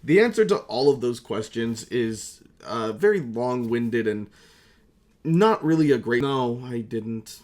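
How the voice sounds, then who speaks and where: A young man talks in a middle-pitched voice, like a YouTuber catching up with viewers. He rambles in a casual, comedic way, mocking himself and swearing freely. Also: expressive, a little slow. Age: young adult. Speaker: YouTuber and amateur voice actor. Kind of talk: casual comedic vlog chat.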